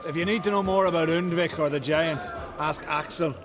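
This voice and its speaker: An actor playing a hearty tavern keeper in a fantasy video game, telling tales in a folksy, animated way. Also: male voice, a little high, fairly quick, over music, natural voice. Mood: amused, chatty. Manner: folksy, animated storytelling with archaic dialect words. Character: hearty male island tavern keeper, fond storyteller.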